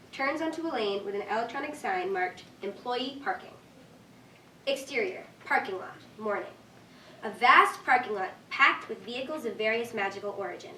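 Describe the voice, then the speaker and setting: A woman's voice: fairly high pitch, bright, forceful tone. A young woman reading stage directions aloud as scripted narration at a staged reading. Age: young adult. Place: echoey indoor room at a staged reading. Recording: echoey room, distant mic, faint noise.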